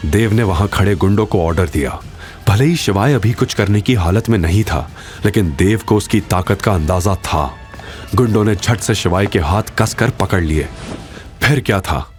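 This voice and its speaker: A man's voice: on the low side, expressive, moderate pace, darkish tone, faint music behind. A man giving dramatic scripted narration as the storyteller of an audio drama.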